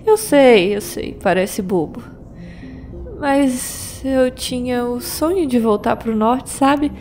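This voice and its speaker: A worried young woman fighter, voiced by an actress with a Brazilian accent, slow and halting, often restarting her words. Her voice sits a little high, with faint noise behind it. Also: a little mellow. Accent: Brazilian Portuguese. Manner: hesitant, stumbling restarts. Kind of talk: audio drama acting.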